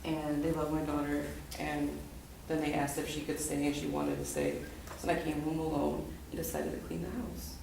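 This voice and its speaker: A woman talks casually and hesitantly about herself from the floor of a class. Her voice is low and gravelly with fry. She is heard from a distance in a very echoey room with clear noise. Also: expressive. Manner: hesitant, halting. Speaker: lecture participant. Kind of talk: casual personal sharing.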